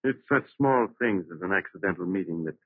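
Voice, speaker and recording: Deep-voiced calm doctor. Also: male voice, dry recording. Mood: calm, attentive. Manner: measured, understanding. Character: calm doctor listening to a confession. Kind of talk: 1940s radio drama acting. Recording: muffled, remastered old radio recording.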